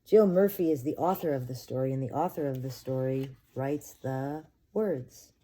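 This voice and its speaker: A woman's voice: rumbling low, normal speed, mellow. A woman preschool teacher reading a picture book aloud expressively and talking directly to young children. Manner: addresses children directly with simple questions.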